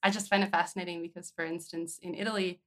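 A woman's voice: on the low side, little pitch movement, fast. Curious woman hosting an interview. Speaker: interview host. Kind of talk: conversational interview with scripted introduction.

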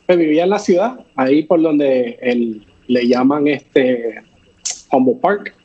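Husky rambling man. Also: mid-range voice, slow, highs cut off. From born in Chicago, raised partly in Puerto Rico. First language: English. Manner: rambling, many fillers and restarts, laughs often. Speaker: guest. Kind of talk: casual live interview chat.

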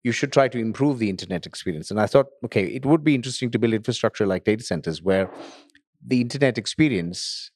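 A man's voice: medium pitch, expressive, fast, darkish tone, no room sound, clean studio sound. A middle-aged man talking casually as a podcast guest, rambling with many restarts. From grew up in Tanzania and Nigeria. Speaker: podcast guest, data center company founder. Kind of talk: casual interview talk.

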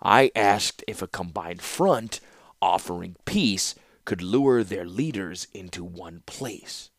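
A cunning warlord speaks gruffly and with deliberate weight, as a man puts him on. His low voice moves with lively pitch, dry and close to the mic. It is a storybook voice. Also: no echo. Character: cunning middle-aged male warlord and ruler.

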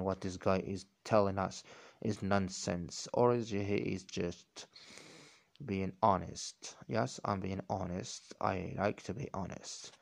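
A man's voice: low voice, gravelly. A man talking unscripted on his own podcast, rambling as he repeats and corrects himself. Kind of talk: unscripted solo talk.

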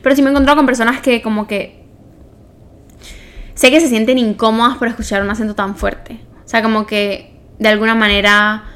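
A woman's voice: a little high, steady tone, a little fast, a bit bright. A young woman with a Venezuelan accent telling personal stories in casual podcast chat, informally and often correcting herself as she goes. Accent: Venezuelan Spanish. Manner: informal, self-correcting storytelling. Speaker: podcast co-host.

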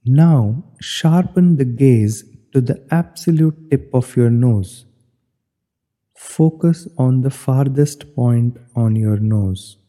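Very dark-voiced, slow man guiding meditation. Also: medium pitch. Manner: slow, measured, instructional. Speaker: meditation instructor. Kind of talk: guided meditation instruction. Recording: long hollow reverb.

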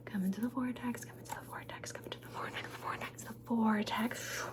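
A woman's voice: on the high side, steady tone, a little fast. A woman performing an ASMR personal-attention roleplay, soothing and playful, repeating words and short phrases over and over.

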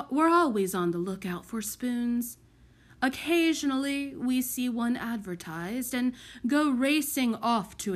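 A woman voicing a resigned, gentle lord in a storybook, with a heavy, subdued manner. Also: on the high side. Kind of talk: acted storybook dialogue.

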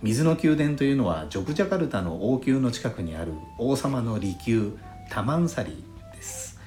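A man's voice: medium pitch, sing-song, moderate pace. A male podcast host chatting politely as he reads out listener letters, with formal, courteous phrasing. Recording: roomy echo, faint background music. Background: soft lo-fi flute jazz music.

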